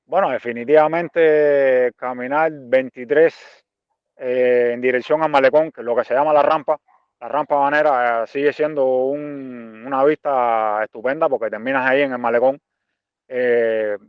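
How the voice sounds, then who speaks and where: A Cuban man of forty-five giving reflective interview answers haltingly, repeating phrases as he searches for words. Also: medium pitch, steady tone, smooth, dry recording, dull audio. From Cuba. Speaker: interview guest, visual artist and art teacher.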